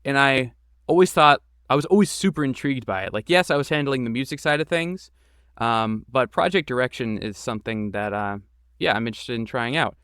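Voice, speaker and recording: A man's voice: medium pitch, fairly quick, fairly bright tone, clean tone, dry recording, studio quality. A man answering interview questions casually as a podcast guest, with an informal, enthusiastic and self-deprecating manner. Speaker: podcast guest, game creator and voice actor.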